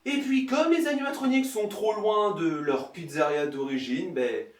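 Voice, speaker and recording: High-pitched sarcastic man reviewing a film. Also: moderate pace, roomy sound, far from the mic, faint music behind. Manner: sarcastic, exasperated, colloquial. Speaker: film reviewer, video host. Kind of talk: comedic film review commentary, recapping a plot.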